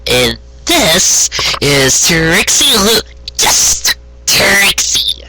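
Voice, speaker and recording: A reader as a bright, frank young female unicorn. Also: medium pitch, big pitch swings, extremely slow, voice pushed up, heavily acted, rough recording. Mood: teasing.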